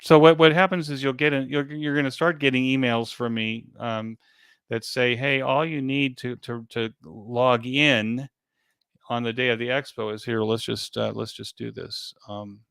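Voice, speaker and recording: A man being interviewed as an event organizer, giving an informal step-by-step explanation in a halting, repetitive way. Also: medium pitch, fairly even intonation, creaky, fairly bright tone, clear, resonant tone, no echo.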